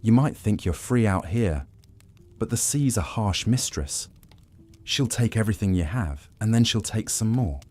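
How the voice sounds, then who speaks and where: A man playing a low, grizzled old pirate. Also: lively intonation, normal speed, darkish tone. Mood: grim warning. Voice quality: low, rough, raspy. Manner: grim yarn-spinning in sailor dialect. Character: grizzled old male pirate, haunted, grim, world-weary.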